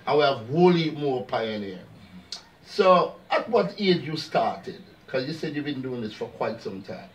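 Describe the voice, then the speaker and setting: A man's voice: mid-range voice, unhurried. A man hosting a casual interview, addressing the audience and using patois phrases. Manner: lively, uses patois phrases like "big up". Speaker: interview host. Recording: distant mic, faint ambience.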